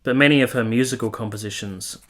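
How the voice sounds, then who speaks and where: An actor playing an earnest, reflective nineteenth-century gentleman in a radio drama, with measured, formal phrasing. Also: mid-range voice, lightly acted. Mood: awed narration. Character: earnest, reflective gentleman of 1870s Italy, troubled lover. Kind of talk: radio drama dialogue and first-person narration.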